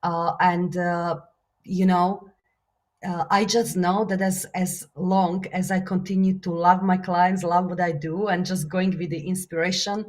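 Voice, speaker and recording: A woman's voice: on the low side, little pitch movement, measured pace. Slovak woman, earnest and reflective. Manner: earnest, reflective, many fillers, laughs softly. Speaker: guest, business author and coach. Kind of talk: casual interview talk.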